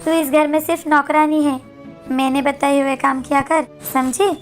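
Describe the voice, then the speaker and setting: Woman doing a mean bossy girl, really high voice, scornful jealous stepsister, cartoon w music. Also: fairly even intonation, normal speed, lightly acted. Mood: spiteful, scornful. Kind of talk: Hindi moral-story cartoon acting. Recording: background music under the voice.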